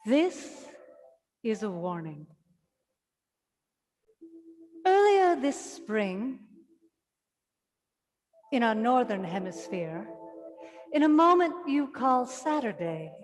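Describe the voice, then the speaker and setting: A woman playing the Earth as a weary, reproachful ancient mother in a staged play reading, speaking solemnly and deliberately with wry asides. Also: on the high side, big pitch swings. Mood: solemn warning. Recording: music behind the voice. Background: plucked guitar music.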